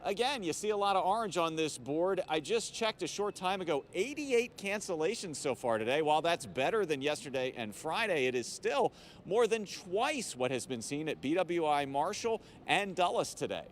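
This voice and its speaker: A man's voice: on the high side, sing-song, moderate pace. An American man giving a live television news report as a field reporter. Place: airport. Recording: background noise from airport.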